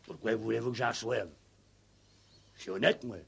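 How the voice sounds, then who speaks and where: An actor playing a simple, stubborn village farmhand in a radio play, defensive and blunt, with hesitant, ungrammatical country speech. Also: male voice, lowish voice, fairly even intonation, moderate pace, creaky, fairly bright tone, breathy edge, heavily acted. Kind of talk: 1960s French radio play acting.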